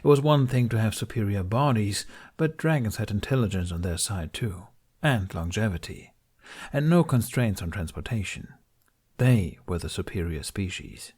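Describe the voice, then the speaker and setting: A man's voice: lowish voice, expressive, normal speed, a little mellow, breathy edge. A man narrating fiction as an audiobook reader, reading from a script.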